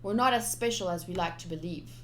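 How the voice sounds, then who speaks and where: A woman's voice: on the low side, fast talker, a bit bright, slight background noise. A woman streamer reading visual novel text aloud, with casual commentary of her own. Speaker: game streamer. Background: game music.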